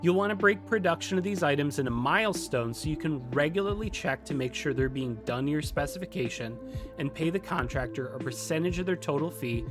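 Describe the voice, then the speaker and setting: Higher-pitched man narrating a tutorial. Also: animated, fairly quick. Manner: methodical explaining, lists items clearly. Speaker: video tutorial host. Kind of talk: scripted instructional narration. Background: background music.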